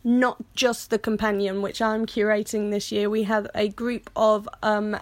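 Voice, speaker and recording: A woman's voice: medium pitch, level tone, measured pace. A British woman co-hosting a podcast in a casual, chatty style, full of playful, jokey banter. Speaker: podcast co-host.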